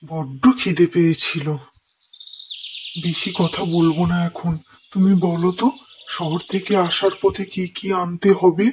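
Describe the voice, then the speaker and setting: A man's voice: high voice, little pitch movement, measured pace, a little hiss or hum. A man voicing a timid old woodcutter husband who frets and mutters to himself in fear. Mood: hungry. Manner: fretful, scared muttering to himself.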